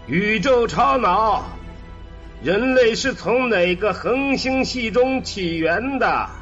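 A man playing a slow, high-voiced, melancholy immortal mind. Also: expressive, a bit bright, highs cut off, faint music behind, full character voice. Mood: curious, musing. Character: immortal disembodied human mind, curious and melancholy. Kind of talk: audio drama dialogue.

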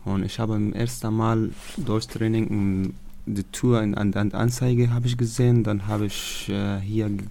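A man from Afghanistan, not a German speaker, answering interview questions in halting learner's German with many pauses and fillers. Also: on the low side, level tone, vocal fry, mellow. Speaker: course participant.

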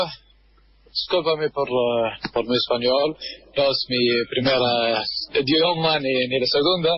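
A man from Kuwait, a guest on a radio interview, speaking hesitantly with many pauses while he searches for words. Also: mid-range voice, breathy edge, dull audio. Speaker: guest, football fan. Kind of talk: radio interview over a line.

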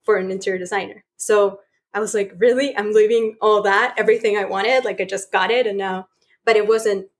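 Guest: woman, easy and chatty, quick to laugh. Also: medium pitch, fairly even intonation. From Chile. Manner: chatty, laughing, lots of "like" fillers. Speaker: guest, spiritual coach. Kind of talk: casual podcast chat.

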